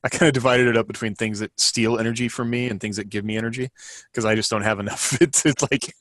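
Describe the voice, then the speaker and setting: A man's voice: medium pitch, steady tone, fast talker, a bit bright, no echo. A man co-hosting a casual podcast, rambling and thinking aloud as he talks. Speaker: podcast co-host and co-author.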